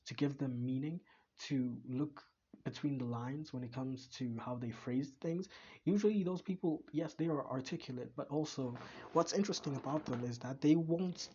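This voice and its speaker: A man's voice: mid-range voice, a little mellow. Man, casual reaction commentary, rambling, restarts sentences, slightly muffled mic, faint background noise. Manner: rambling, musing, frequent restarts and stammers. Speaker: reaction video creator.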